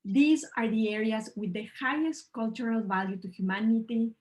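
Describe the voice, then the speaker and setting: Earnest woman from Ecuador presenting. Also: fairly high pitch. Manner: earnest, measured, slightly non-native phrasing. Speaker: panel speaker. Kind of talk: online presentation talk. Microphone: video call.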